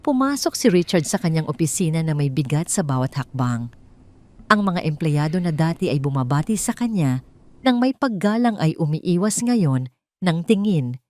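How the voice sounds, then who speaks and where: A woman with a Filipino accent narrating a scripted dramatic story in a measured, solemn storytelling manner. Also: low-pitched, very animated, darkish tone.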